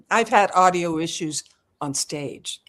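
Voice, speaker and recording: A woman's voice: fairly low pitch, takes it slowly, a bit bright. An older woman, a retired singer, chatting as an interview guest and laughing easily. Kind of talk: casual interview chat.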